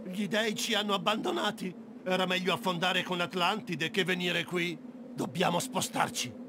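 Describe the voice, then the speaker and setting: Man as high-voiced discontented exile. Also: steady tone, normal speed, close to an everyday voice. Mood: bitter complaint. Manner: complaining, sceptical. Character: discontented male exile, gloomy complainer. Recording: clear background ambience noise.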